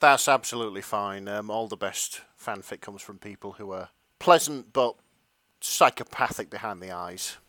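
A man co-hosting a podcast, chatting in a giggly, excitable way. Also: on the low side, normal speed, no echo. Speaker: podcast co-host. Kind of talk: casual chat.

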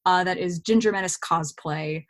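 A woman's voice: fairly low pitch, moderate pace. A woman chatting informally as a guest in a casual podcast interview. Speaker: podcast guest, actor and cosplayer.

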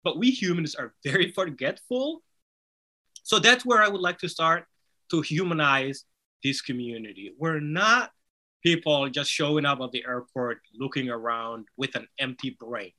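Man, bright forceful voice, earnest, persuasive, interview guest. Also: mid-range voice, moderate pace. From Somalia. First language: Somali. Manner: earnest, persuasive, rhetorical "right?" tags. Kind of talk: conversational interview answer.

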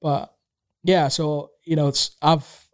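A man's voice: fairly high pitch, flat delivery, slow pace. A man in his thirties who grew up in Tanzania and speaks Swahili natively, chatting casually as a guest, rambling with many fillers and restarts. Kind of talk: casual conversation.

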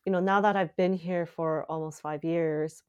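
A woman's voice: on the low side, steady tone, normal speed, smooth, muffled recording. A young woman from Canada, a playwright, talking casually in an interview with many filler words. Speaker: playwright guest. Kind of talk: conversational interview.

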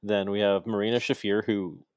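Low-voiced male podcast host, dry sound. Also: moderate pace, smooth, no room sound. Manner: relaxed, rambling with many fillers and restarts. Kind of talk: casual chat.

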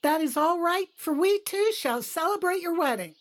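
A woman's voice: extremely high pitch, animated, moderate pace. A woman playing a kindly, welcoming mother in a religious audio drama, with a gracious, motherly manner. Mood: warm, delighted. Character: kindly mother welcoming her new daughter-in-law.

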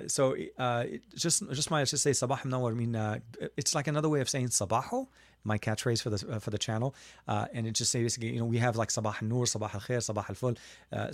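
A man's voice: medium pitch, vocal fry, dry recording. Chatty male tech host from Lebanon. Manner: chatty, answers viewer chat with fillers and restarts. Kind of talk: casual live Q&A.

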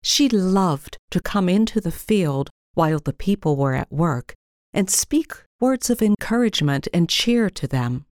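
A woman narrating a scripted storybook tale in a measured, gentle storytelling manner. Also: fairly low pitch, expressive, no room sound, studio quality. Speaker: story reader.

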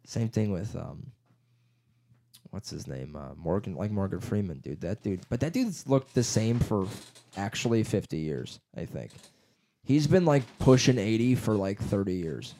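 Laughing young guy, lively voice. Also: lowish voice, measured pace, slight background noise. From United States. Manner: laughing, swearing, doing silly accent impressions. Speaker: podcast co-host. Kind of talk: casual chat.

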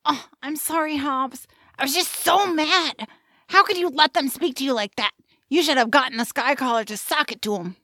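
Woman playing a high-pitched, feisty young adventurer girl. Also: moderate pace, pushed and bright, no room sound, studio quality. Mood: indignant. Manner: brash, plucky, slangy. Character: feisty, loyal, teasing young female adventurer and trader. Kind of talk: audio drama acting.

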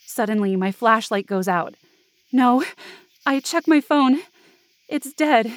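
A woman's voice: a little high, expressive, slow, dry recording, clean studio sound. A woman acting an anxious young woman who narrates her frightening night hike in tense first-person audio drama. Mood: near tears.

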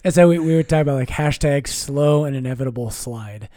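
Relaxed priest, dry mic. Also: male voice, medium pitch, brisk, no echo. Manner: relaxed, explains doctrine with jokes and self-corrections. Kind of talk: casual podcast chat.

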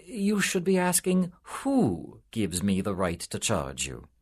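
Tired, solemn angel, voiced by a man: slow, soft, husky. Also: lowish voice, exaggerated voice. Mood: weary. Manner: calm, measured, softly solemn. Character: weary, patient male angel, solemn and gentle.

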